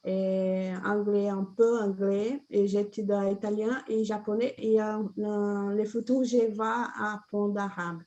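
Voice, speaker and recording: Woman guest, mid pitch, halting learner's conversation. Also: steady tone, dark tone, muffled recording. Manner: hesitant, simple phrasing. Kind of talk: casual chat in a learned language.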